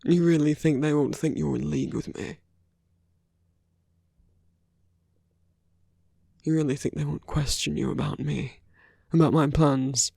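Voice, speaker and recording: A man playing a protective, quietly possessive villain in an intimate ASMR roleplay, speaking in a hushed, halting, deliberate way with murmured asides. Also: a little high, fairly even intonation, creaky, slightly rough, no room sound, full character voice. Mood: tense, persuasive. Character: smooth-talking male villain, protective, coaxing, quietly possessive.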